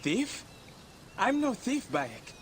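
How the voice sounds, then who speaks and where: An actor playing a boastful, slippery rogue in a video game, telling tales in a glib, self-dramatising way. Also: male voice, high-pitched, wildly swooping pitch, slow, a little hiss or hum, heavily acted.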